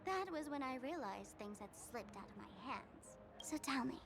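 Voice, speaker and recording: Game casting: young female trickster, high voice, wry, confiding, slightly theatrical, music behind. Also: animated, moderate pace. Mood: serious, concerned. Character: wry, teasing young woman, secretive streamer and trickster. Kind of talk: video game voice acting. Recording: clear music bed behind voice.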